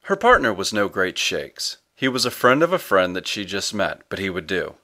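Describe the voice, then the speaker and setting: A man's voice: deep voice, sing-song, moderate pace, sharp tone. A man reading fiction aloud as an audiobook storyteller. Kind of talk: scripted audiobook narration.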